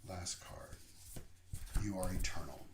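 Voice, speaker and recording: Guy minister preaching slow and thoughtful, lots of pauses, kinda echoey room. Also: sits low, very noisy. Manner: slow, reflective, pausing between phrases. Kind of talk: sermon. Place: room, via online service. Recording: roomy echo.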